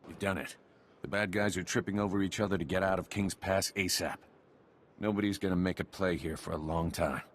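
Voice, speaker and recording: An actor playing a brisk, pleased military man giving a report in a video game. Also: deep voice, fairly even intonation, lightly acted. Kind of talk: video game cutscene dialogue.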